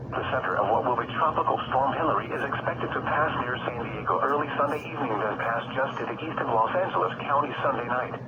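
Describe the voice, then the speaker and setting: Automated male weather radio announcer: text-to-speech, formal scripted read, very noisy lo-fi broadcast. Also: mid-range voice. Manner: formal, impersonal. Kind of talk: scripted weather bulletin reading.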